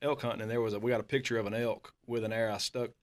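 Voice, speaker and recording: A man's voice: fairly low pitch, fairly even intonation, racing. A man, explaining his bow hunting association's views in an earnest way.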